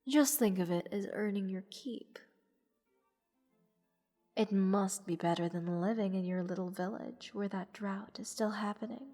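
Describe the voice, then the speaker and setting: Woman acting a slow-talking, haughty goddess, echoing hall. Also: medium pitch, dark tone, close to an everyday voice. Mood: coaxing, condescending. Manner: teasing, condescending, unhurried. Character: haughty, playful goddess toying with her captive mortal. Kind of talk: roleplay audio drama monologue. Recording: long hall reverb. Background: music.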